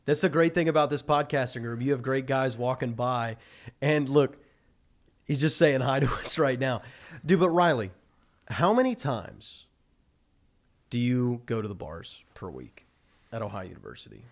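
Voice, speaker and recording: A man's voice: mid-range voice, lively intonation, moderate pace. Young man hosting a college podcast. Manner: loose, rambling, many fillers and restarts. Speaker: podcast host. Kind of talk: casual chat. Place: small college podcast studio. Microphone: studio mic on boom arm.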